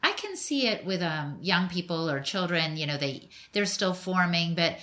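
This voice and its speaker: A woman's voice: lowish voice, lively intonation, moderate pace, fairly bright tone. A middle-aged woman hosting a podcast interview, asking curious questions with light laughter. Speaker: podcast host. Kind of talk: interview conversation.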